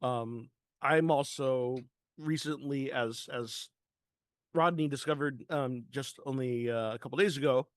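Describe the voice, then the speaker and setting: A man's voice: medium pitch, measured pace, no echo, studio quality. A man from San Diego co-hosting a podcast in casual chat, hesitant with many ums. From San Diego, California. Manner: hesitant, full of ums and restarts. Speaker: podcast co-host.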